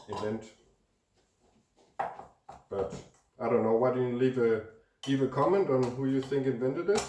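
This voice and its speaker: Man, hoarse and congested, chatty cooking host, echoey kitchen, mic set back. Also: mid-range voice, darkish tone. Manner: chatty, talks while mixing food. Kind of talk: casual cooking demonstration. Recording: roomy echo, distant mic, faint noise.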